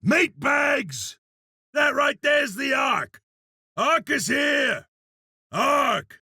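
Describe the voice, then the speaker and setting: Gravelly shouting berserker warrior. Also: male voice, extremely high pitch, big pitch swings, drawn-out words, no room sound, clean studio sound, full character voice. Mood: urgent, rallying. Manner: forceful, emphatic, barking short warnings. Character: loud, brash iron berserker warrior, male video game hero. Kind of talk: video game combat callouts.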